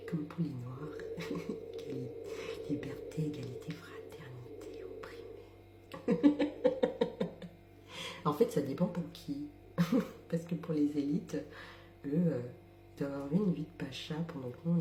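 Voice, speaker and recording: A woman's voice: low voice, mellow, echoey room. A young woman from metropolitan France talking ironically to camera, laughing often and dropping into whispered asides. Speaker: social media creator. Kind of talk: casual monologue to camera.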